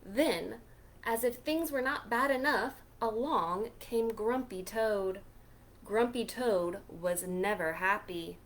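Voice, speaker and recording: A woman hosting a children's storytime, reading a picture book aloud in a deliberate, expressive way. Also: mid-range voice, a little hiss or hum. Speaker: children's librarian storytime host.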